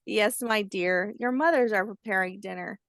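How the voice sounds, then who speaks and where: A woman voicing a kindly, patient old grandmother alligator, telling facts in a gentle storybook manner. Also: medium pitch, moderate pace, no room sound, clean studio sound, lightly acted. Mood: proud, instructive. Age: older.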